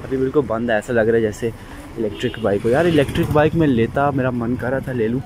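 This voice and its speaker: A young man talks casually into a camera fixed to his motorbike. Street and engine noise run loudly behind his voice out in the open city. His pitch sits in an ordinary middle range. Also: fairly even intonation, quick pace. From Pakistan. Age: young adult. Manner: chatty, talks to viewers while riding. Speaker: vlogger. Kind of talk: casual motovlog chat. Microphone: action camera on the bike. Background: traffic noise.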